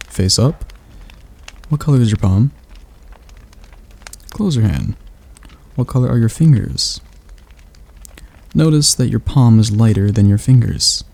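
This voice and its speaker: A man voicing a patient demon magic tutor in an ASMR roleplay, talking slowly and softly as he coaxes his student through a spell. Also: lowish voice, gravelly, husky, heavily acted. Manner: slow, soft, coaxing instruction.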